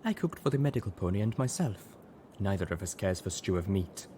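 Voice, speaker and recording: A man's voice: low voice, big pitch swings, a little fast, warm tone, slight background noise. A man voicing a reserved, stoic female zebra who speaks formally and plainly in a story reading. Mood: calm, measured. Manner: formal, plain-spoken, without contractions.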